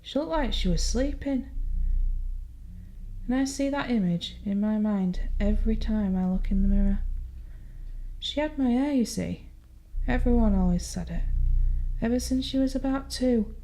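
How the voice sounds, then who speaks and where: An actress playing an unrepentant, numb woman murderer confessing from prison in an audio horror drama, speaking in a confiding, deliberate way with halting repetitions. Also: a little high, warm tone, natural voice, rough recording. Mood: numb, haunted. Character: jailed woman murderer, chillingly candid, numb, unrepentant mother.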